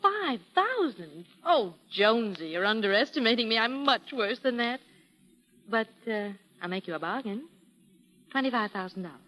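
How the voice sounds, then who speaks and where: A woman's voice: fairly high pitch, big pitch swings, fairly bright tone. Coy, sly showgirl, lilting and reverberant. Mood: mocking, shrewd. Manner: coy, teasing, drawn-out phrases. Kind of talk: 1940s radio acting. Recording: reverberant 1940s radio broadcast.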